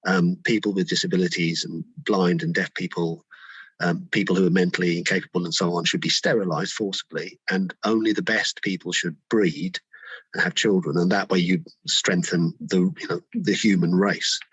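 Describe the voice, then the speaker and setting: Male expert guest, low and slightly rough voice, informal explanatory talk, dry recording. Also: fairly even intonation, moderate pace, fairly bright tone, no echo, poor audio. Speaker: interview guest, biographer. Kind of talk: conversational interview commentary.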